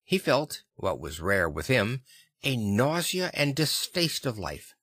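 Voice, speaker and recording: A man reading classic fiction as an expressive audiobook narrator. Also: mid-range voice, takes it slowly, husky, no room sound, studio quality. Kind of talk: expressive scripted literary narration.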